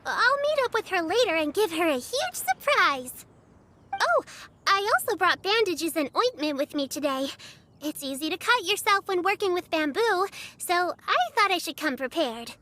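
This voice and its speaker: A woman's voice: very high-pitched, sing-song, normal speed, bright, forceful tone, heavily acted. A woman voicing an eager, caring young girl apprentice who speaks in a bubbly, earnest way.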